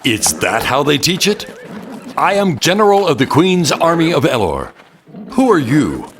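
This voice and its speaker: An actor playing an older male mentor and army general in an audio drama, speaking in a measured, patient, enigmatic way. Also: a little high, huge pitch swings, fairly bright tone, a little hiss or hum, exaggerated voice. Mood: stern, defiant.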